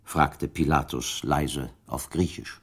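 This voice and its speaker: A man's voice: very deep voice, takes it slowly, fairly bright tone, slightly rough. A man narrating a literary radio drama from a script, telling the story in formal prose.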